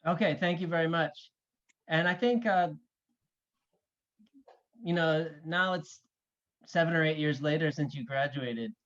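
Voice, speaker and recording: A male host with a fairly high voice, upbeat and encouraging as he leads the conversation. His audio comes over a video call and sounds dull and muffled. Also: unhurried, long pauses, a bit bright. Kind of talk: interview hosting.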